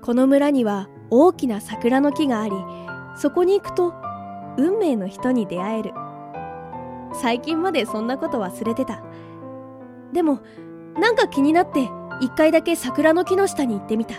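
A woman plays a girlish nineteen-year-old village heroine with a very high, expressive voice. She is bright and kind with a wistful streak, in radio-drama style over soft music. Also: normal speed, pauses often. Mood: chatty, bright. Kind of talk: Japanese audio drama acting with monologue.

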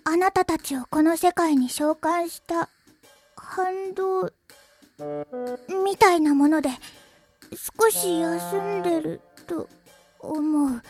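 A woman voicing a listless little demon girl of few words, deadpan but gentle, in an anime radio drama. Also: extremely high pitch, soft background music. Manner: slow, terse, deadpan.

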